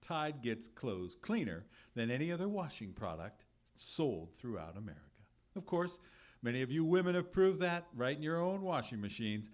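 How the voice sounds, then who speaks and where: A man's voice: mid-range voice, sing-song, moderate pace. A man announcing an old-time radio show from a script, theatrical and emphatic. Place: live theatre stage.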